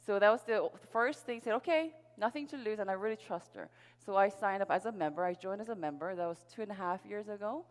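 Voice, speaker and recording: A middle-aged woman from South Korea, a native Korean speaker, giving an upbeat motivational presentation to an audience, telling her personal story. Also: mid-range voice. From Seoul, South Korea. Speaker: sales presenter at a network marketing event. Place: large event hall on stage. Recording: reverberant hall PA sound.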